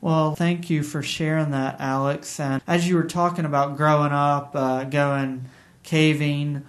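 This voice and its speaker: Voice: medium pitch, little pitch movement. Encouraging interviewer asking follow-up questions. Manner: encouraging, conversational, somewhat halting.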